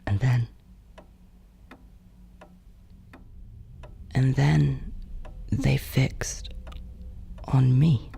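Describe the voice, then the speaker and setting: An actor voicing a bitter, yearning ghost in a horror audio drama monologue, brooding and breathy, often close to a whisper. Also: medium pitch, steady tone, mellow, a little hiss or hum, full character voice. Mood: haunted, longing. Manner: slow, halting, brooding. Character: bitter, yearning ghost of a stillborn adult sibling.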